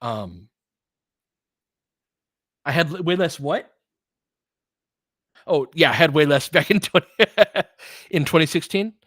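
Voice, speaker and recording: A podcast host chatting casually with viewers, telling a rambling story full of fillers and restarts. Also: male voice, a little high, animated, slow, pauses often, fairly bright tone, no room sound, clean studio sound. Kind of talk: casual livestream chat answering viewers.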